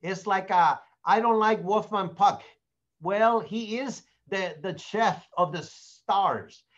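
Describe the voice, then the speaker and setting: A man with a Spanish accent, a native Spanish speaker, giving a blunt, animated real estate sales training and acting out sample dialogues. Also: sits high, slow pace, lo-fi sound.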